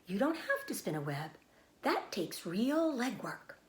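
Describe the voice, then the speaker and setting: A woman voicing a patient, precise female spider who instructs softly and deliberately in a children's storybook. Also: mid-range voice, very animated, echoey room. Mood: patient, coaching.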